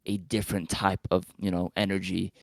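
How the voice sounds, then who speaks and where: A man's voice: low-pitched, little pitch movement, brisk, creaky, no room sound. A man chatting casually as a panelist, tentative and rambling, often correcting himself mid-thought. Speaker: podcast panelist. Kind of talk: casual chat.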